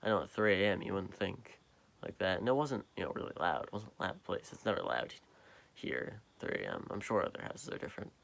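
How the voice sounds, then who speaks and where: A tired young man with a deep voice, rambling slowly in a late-night vlog, halting and full of fillers, recorded on a propped-up phone in a quiet basement. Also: very animated, gravelly. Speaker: vlogger. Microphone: phone propped up nearby.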